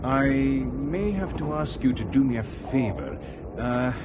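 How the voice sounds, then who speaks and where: An actor playing a tactful, reluctant airline official in a radio drama, speaking haltingly as he breaks bad news. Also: medium pitch, sing-song. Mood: hesitant. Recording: noisy radio drama with engine ambience.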